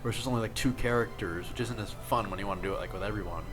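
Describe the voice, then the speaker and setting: A man co-hosting a podcast in casual group commentary, talking haltingly and peppering his sentences with "like". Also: fairly low pitch, fairly bright tone. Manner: halting, heavy use of "like". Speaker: podcast co-host. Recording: music playing behind. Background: music.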